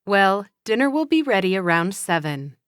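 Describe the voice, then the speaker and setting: Lady doing a practical mom, calm and matter-of-fact, smooth clear voice. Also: medium pitch, normal speed, no room sound, clean studio sound, close to an everyday voice. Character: mother teaching her son, practical, gently firm. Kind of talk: scripted skit.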